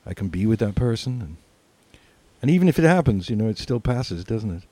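A man's voice: lowish voice, very animated, a little fast, warm tone, no room sound. A man co-hosting a podcast in reflective, casual conversation. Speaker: podcast co-host.

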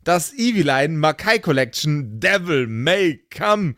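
Male host, casual name read-out, unhurried pace, playful intonation, close dry sound. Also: on the high side, expressive, fairly bright tone, no echo. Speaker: podcast host. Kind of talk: reading out a list of names, casual.

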